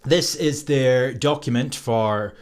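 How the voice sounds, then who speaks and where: A man's voice: mid-range voice, slow pace. A Scottish man giving screen-recorded commentary in an indignant, sarcastic manner, with scoffs and swearing. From Scotland.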